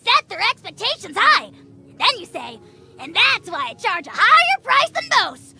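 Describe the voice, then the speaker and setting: A haughty little dragon overlord from a video game, with an extremely high, bright, piercing voice. It is gravelly at the edges, theatrical and spiteful, delivering insults as if they were royal decrees. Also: female voice, sing-song, normal speed, exaggerated voice. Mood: scornful, smug. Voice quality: shrill, creaky, cartoonish. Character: tiny arrogant dragon lord, bombastic, spiteful, easily enraged. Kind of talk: video game character voice acting.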